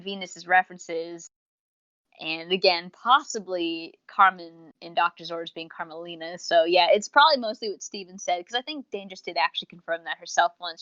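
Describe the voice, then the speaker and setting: A woman's voice: on the low side, pushed and bright, dry recording, lo-fi sound. A woman co-hosting a casual fan discussion, talkative and rambling, with slangy phrasing and acted-out asides. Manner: rambling, talkative, slangy, acts out imagined remarks. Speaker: podcast co-host reviewing audio dramas.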